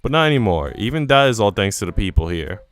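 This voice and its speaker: A man's voice: lowish voice, sing-song, fast. A man narrating a story from a visual novel, reading both the prose and the dialogue aloud.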